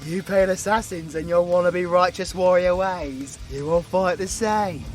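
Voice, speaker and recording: A man's voice: high voice, fairly even intonation, moderate pace, faint music behind, close to an everyday voice. A British man voicing a cocky, crude warlock supervillain in an audio roleplay, full of mocking, sweary, theatrical banter. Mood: smug, mocking. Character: cocky, crude, taunting male warlock supervillain, gleefully playful.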